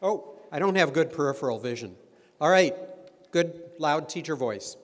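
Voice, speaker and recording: A man moderating an informal question session at a luncheon, relaxed and chatty with many fillers. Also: on the high side, moderate pace. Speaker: event moderator. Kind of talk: informal Q and A hosting. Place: large meeting room. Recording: long reverb of a large hall.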